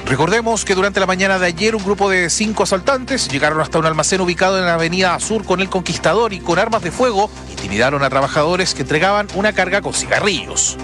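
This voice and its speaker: Chilean male news reporter, fast and high-pitched, scripted radio report. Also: sharp tone.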